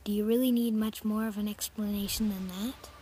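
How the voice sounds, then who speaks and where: A preteen child hosting a gaming video in a casual countdown style, slightly raspy and hoarse from being sick, with halting delivery and drawn-out emphasis. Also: medium pitch, steady tone, mellow, a little hiss or hum. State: getting over a sickness. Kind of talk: scripted-sounding countdown narration and casual vlog intro.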